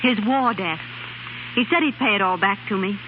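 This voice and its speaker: An actress playing an anxious but stubborn young wife in a 1930s radio drama. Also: female voice, medium pitch, normal speed, lightly acted. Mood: uneasy, reserved. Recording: muffled, noisy 1930s radio recording.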